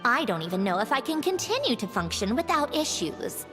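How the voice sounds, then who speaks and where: An actress playing a proud, coolly courteous mechanical woman of high rank in a video game, speaking in formal, precise phrases. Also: sing-song, fairly bright tone, soft background music. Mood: guarded, determined. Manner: formal, measured, precise wording.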